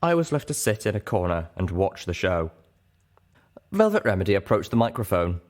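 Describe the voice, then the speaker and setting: Low-pitched man narrating a story. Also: sing-song, moderate pace, a little mellow. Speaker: audiobook narrator. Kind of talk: scripted first-person story narration.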